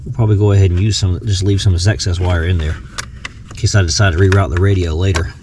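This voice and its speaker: A man with a low voice talks casually through a do-it-yourself job, thinking aloud as he goes. Clear background noise from a vehicle runs behind him. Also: fairly even intonation, fast. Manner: thinks aloud while working. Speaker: host of a DIY vehicle radio install video. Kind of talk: casual hands-on tutorial narration.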